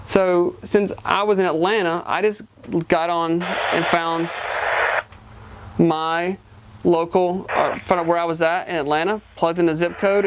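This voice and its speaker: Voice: mid-range voice, noisy background. A person giving a casual, hands-on product demonstration, explaining informally step by step. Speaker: gadget reviewer.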